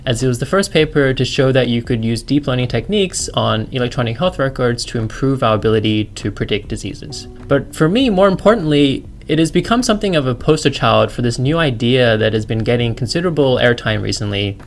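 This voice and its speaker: A man's voice: mid-range voice, animated, fairly quick, hardly any pauses. An Australian-accented man in his thirties, a university lecturer guesting on a podcast. He talks in an easy, chatty way and often jokes about himself. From China. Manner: chatty, self-deprecating, laughs easily. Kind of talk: casual podcast interview.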